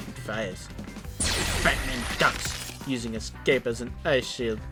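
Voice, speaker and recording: A man narrating scripted superhero action dramatically as the narrator of an audio drama. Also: medium pitch. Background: music.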